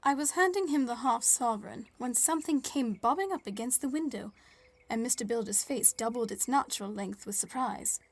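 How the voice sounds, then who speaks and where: A woman's voice: a little high, brisk. A woman playing a polite, shrewd Victorian newspaper reporter, formal and wry, in a scripted audio drama. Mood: wry, narrating. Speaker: narrator and interviewer. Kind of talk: scripted first-person narration and dialogue.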